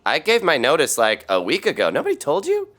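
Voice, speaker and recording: A man's voice: on the high side, huge pitch swings, a little fast, fairly bright tone, full character voice. A man playing a breezy, oblivious dinner guest in a sitcom, talking in a casual, offhand way. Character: breezy, clueless male coworker at dinner party. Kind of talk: sitcom acting, conversational.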